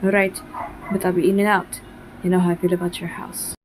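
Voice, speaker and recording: A woman voicing a caring but uneasy young man in an amateur comic dub, with a halting delivery. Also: fairly low pitch, level tone, background noise, natural voice. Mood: concerned.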